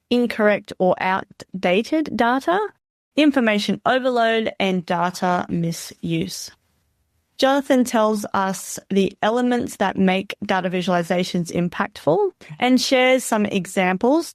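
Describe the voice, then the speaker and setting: A woman's voice: medium pitch, no echo, clean studio sound. A woman hosting a podcast, introducing a guest and asking questions in a friendly, upbeat way. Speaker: podcast host.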